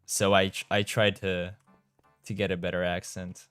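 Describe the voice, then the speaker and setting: A man's voice: low-pitched, little pitch movement, normal speed, a bit bright, clean tone, no echo, soft background music. A young man from Romania with a Romanian accent, chatting casually with his live-stream audience while playing and tripping over his words now and then. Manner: chatty, reads chat aloud, trips over his words. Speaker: game streamer.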